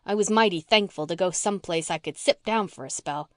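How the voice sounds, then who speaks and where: A woman's voice: on the low side, brisk, no room sound, studio quality, close to an everyday voice. A woman putting on a blunt, slangy orphan girl. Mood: brash. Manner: rough country dialect, blunt.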